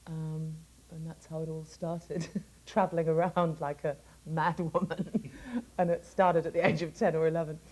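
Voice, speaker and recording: A middle-aged woman singer from England talking casually about her life in an interview, laughing often and letting her sentences trail off softly. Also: deep voice, steady tone, a little slow, low-quality recording.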